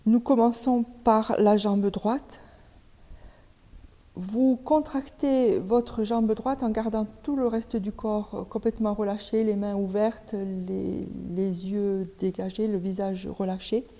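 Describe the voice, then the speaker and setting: A woman leading a guided relaxation as a yoga teacher, giving step-by-step instructions with pauses between them. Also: medium pitch, steady tone, mellow. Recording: long reverberant hall echo.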